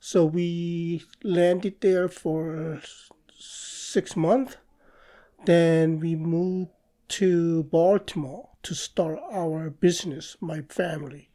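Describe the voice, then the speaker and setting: A middle-aged man from South Korea with a Korean accent, answering interview questions in a halting way, with pauses. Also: on the high side, steady tone, mellow. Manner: slow, halting, with pauses and restarts. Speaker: guest artist and professor.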